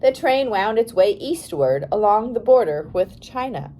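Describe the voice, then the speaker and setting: A woman's voice: mid-range voice, sing-song, unhurried, mellow, a little hiss or hum. A woman narrating a storybook as an audiobook reader, carefully reading aloud with an occasional stumble over the text.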